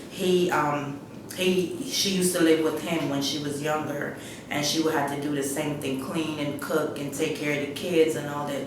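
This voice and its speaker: Low, even-voiced woman in an echoey room. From Guyana. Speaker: interviewee telling her life story. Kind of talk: personal testimony, unscripted storytelling. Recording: echoey room, distant mic, rumbling noise.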